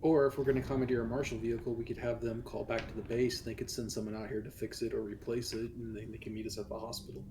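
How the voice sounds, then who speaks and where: A man's voice: mid-range voice, little pitch movement, quick pace, few pauses. A man talking casually and matter-of-factly as a player in a tabletop game. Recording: faint background noise on live stream.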